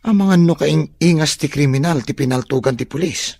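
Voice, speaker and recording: Supportive young friend, Ilocano accent, raspy, slightly high voice, dry studio, radio drama. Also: male voice, normal speed, darkish tone, no room sound. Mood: concerned. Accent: Filipino, Ilocano. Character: supportive young male friend passing on news.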